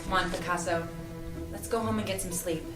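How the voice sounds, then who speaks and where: A woman's voice: on the low side, steady tone, a little fast, close to an everyday voice. A woman acting a feisty, sarcastic and protective young woman in an audio drama read-through, with an exasperated, bossy manner. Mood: weary, caring, wry. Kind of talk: acted reading of an audio drama script. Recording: roomy, distant mic, music underneath.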